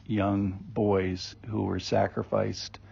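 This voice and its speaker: Gravelly man musing on scripture. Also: fairly low pitch, little pitch movement, slow, darkish tone. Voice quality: gravelly, husky voice. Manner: rambling, conversational, many ums and restarts. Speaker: podcast host teaching Bible passages. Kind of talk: informal daily Bible reflection. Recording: dull lo-fi recording.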